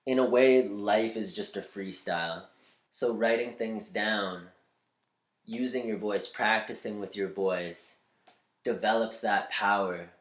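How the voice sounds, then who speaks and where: A man with a slightly nasal voice giving upbeat, spiritual advice on using your voice, shifting between quick bursts and slow, deliberate lines. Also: lowish voice, reverberant. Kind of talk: motivational talk to camera.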